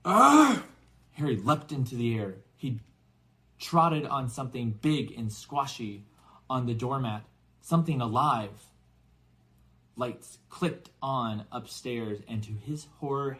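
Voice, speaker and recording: A man reading a children's novel aloud as expressive scripted narration. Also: medium pitch, low-quality recording. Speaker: audiobook reader for English learners.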